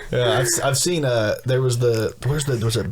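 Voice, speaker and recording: A man's voice: mid-range voice, normal speed, a loud crowd. A man co-hosting a podcast in casual chat, rambling with crude jokes and frequent laughter. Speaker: podcast co-host.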